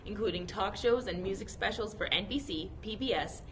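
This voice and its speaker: A woman's voice: medium pitch, brisk, a little hiss or hum. A woman co-hosting an interview show, reading a scripted introduction with enthusiasm before asking her questions. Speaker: co-host. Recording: voice over loud music.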